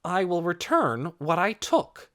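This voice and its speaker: Casting: male reader as resolute young storybook hero, earnest, honest, raised pitch, lively delivery. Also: takes it slowly, exaggerated voice. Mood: firm resolve. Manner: earnest, declaring firmly. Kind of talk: audiobook character acting.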